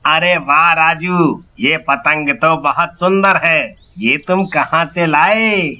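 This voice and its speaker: A man voicing a coaxing village uncle in a cartoon story, deliberate in manner. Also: fairly high pitch, bright, forceful tone, heavily acted. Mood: admiring, coaxing.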